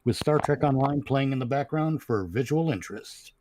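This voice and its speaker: A man's voice: mid-range voice, normal speed, dark tone. Man, medium voice, jokey rambling podcast chat, faint background noise. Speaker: podcast panelist. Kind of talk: casual rambling plugs.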